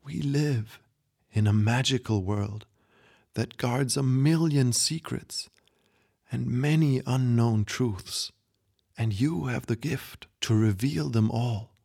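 A man voicing a frail, dying old grandfather who speaks softly, slowly and tenderly in a storybook reading. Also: mid-range voice, gravelly, slightly rough, full character voice. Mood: hushed. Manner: soft, hushed, slow and tender.

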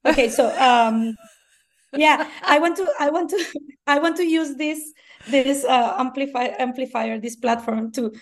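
Mexican woman speaking English, halting. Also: high voice. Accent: Mexican Spanish. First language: Spanish. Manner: halting, searching for words, laughs often. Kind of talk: casual podcast chat.

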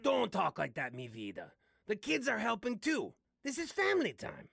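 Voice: medium pitch, huge pitch swings, normal speed, gravelly, a bit bright, breathy edge, dry recording, studio quality, exaggerated voice. An actor playing a male candy patriarch, speaking in a theatrical, emphatic way in an audio drama. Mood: urgent, protective. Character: male candy, cult priest and anxious family father.

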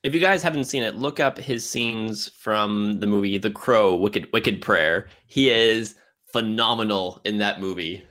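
A man's voice: lowish voice, measured pace, a bit bright. A man hosting a podcast in casual film discussion, giving his opinions with emphasis. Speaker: podcast host.

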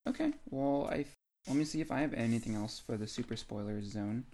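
A man with an average-pitched voice talks as a podcast co-host. He is informal and a bit geeky, rambling through his thoughts on books as in a casual chat. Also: brisk, dark tone. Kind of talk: casual book discussion chat.

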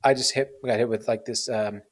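A man's voice: lowish voice, steady tone, brisk. A man in his forties hosting a podcast, chatting in a rambling, self-mocking way with lots of fillers. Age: 40s. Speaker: podcast host. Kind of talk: casual interview chat.

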